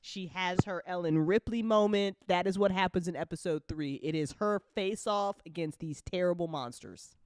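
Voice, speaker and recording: A woman chatting casually as an audio drama creator and host, with an animated, sweary, slangy way of talking. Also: lowish voice, moderate pace, hardly any pauses, clear, resonant tone, no echo. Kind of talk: casual chat.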